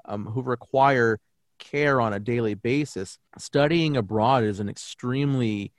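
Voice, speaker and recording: A man's voice: mid-range voice, measured pace, no echo. A young adult man, a native English speaker who teaches German, talking earnestly and reflectively in a podcast interview, often restarting his sentences.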